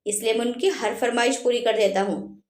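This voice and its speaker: A woman's voice: a little high, normal speed, darkish tone, close to an everyday voice. Lady doing village dad for a story, spoils his kids but can get firm, echoey room. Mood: indulgent. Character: doting, indulgent father, village headman, turning stern.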